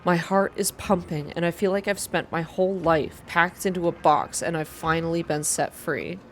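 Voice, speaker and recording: A woman reading first-person story narration aloud in a soft, hushed, measured ASMR style. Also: fairly low pitch, slight background noise. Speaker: narrator reading a visual novel game aloud.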